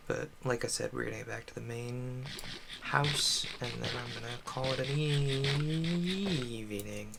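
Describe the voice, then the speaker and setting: A man chatting casually and haltingly on a solo game livestream, rambling with self-deprecating asides. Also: mid-range voice, vocal fry, fairly bright tone, slight background noise.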